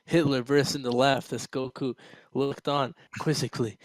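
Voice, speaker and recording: A man's voice: medium pitch, steady tone, moderate pace, no echo. Man casually reading a story aloud, dry close sound. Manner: stumbling, informal, amused. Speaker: amateur reader.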